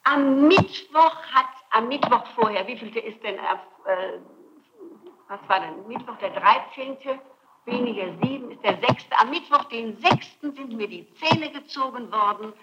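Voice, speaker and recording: A woman's voice: on the high side, a little slow, muffled recording. A middle-aged woman being questioned as a suspect, defending herself insistently and repeating her points emphatically. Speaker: suspect under interrogation. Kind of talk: defensive testimony in an interrogation. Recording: noisy archival tape recording.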